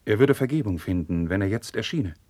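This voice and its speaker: A low-voiced, courtly marquis. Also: male voice, expressive, a little mellow, no room sound. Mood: courtly, veiled. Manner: courtly, deliberate stage diction. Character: noble Spanish marquis, courtly, discreet, earnest. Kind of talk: 1950s German classical radio drama acting.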